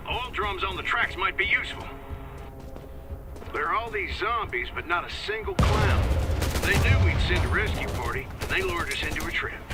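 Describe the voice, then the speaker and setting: A man voicing a steady male commander who guides the player over the radio, with a folksy drawl. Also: fairly high pitch, distant mic, natural voice. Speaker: radio handler guiding the player.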